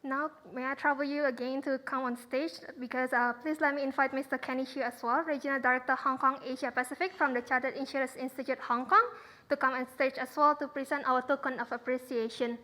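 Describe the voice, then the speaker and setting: A woman's voice: high-pitched, fairly even intonation, brisk, pushed and bright, low-quality recording. A woman acting as master of ceremonies, making polite, formal stage announcements.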